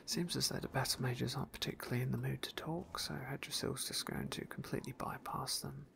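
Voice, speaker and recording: A man whispering ASMR narration of a video game playthrough in a soft, unhurried, genteel way. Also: medium pitch, steady tone, vocal fry, slight background noise.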